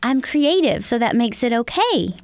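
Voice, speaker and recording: A woman's voice: fairly high pitch, sing-song, moderate pace, a little mellow, no echo, clean studio sound. A woman, a modest, good-humored guest answering questions about her life. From southern Missouri Ozarks. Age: 30s. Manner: modest, laughing, agreeable. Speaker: guest author and instructor. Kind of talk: casual interview chat.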